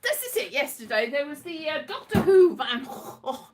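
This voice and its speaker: A woman's voice: sits high, big pitch swings, normal speed, faint music behind. A woman giving a rambling, casual comic monologue with a theatrical, wry, exasperated manner, slipping into sing-song and mimicked exchanges. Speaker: solo performer of a radio play monologue.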